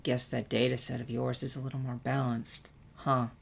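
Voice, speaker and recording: A woman plays a teenage girl with a low voice in a storybook reading. She is reflective and hesitant, confessing old schemes with regret. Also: flat delivery, normal speed, vocal fry, heavily acted. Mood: rueful.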